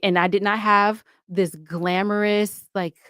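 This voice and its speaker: A woman's voice: mid-range voice, fairly even intonation, unhurried, a bit bright, clean tone, no room sound, studio quality. A woman talking casually as an interview guest, emphatic and given to repeating points for stress. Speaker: interview guest, audio drama podcaster.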